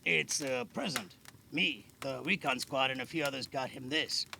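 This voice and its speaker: Casting: male actor for a gruff older healer in an audio drama, very gravelly and forceful, guarded but kindly. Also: mid-range voice, lively intonation, normal speed, full character voice. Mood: guarded, relaxed. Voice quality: gravelly, creaky. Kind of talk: amateur audio drama acting. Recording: faint background noise.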